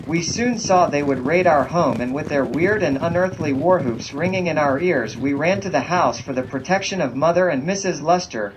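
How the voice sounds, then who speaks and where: A man's voice: on the high side, noisy background, natural voice. A man reading as a solemn frontier memoirist, in a formal, old-fashioned storytelling manner, for a history narration. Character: man recounting his frightening boyhood frontier ordeal, solemn memoirist. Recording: dull, band-limited sound.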